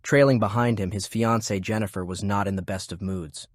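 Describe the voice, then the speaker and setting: A man narrates a fantasy audiobook in a fairly low voice. His reading is measured and descriptive, and the recording is dry and close. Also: steady tone, dry recording. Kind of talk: scripted fiction narration.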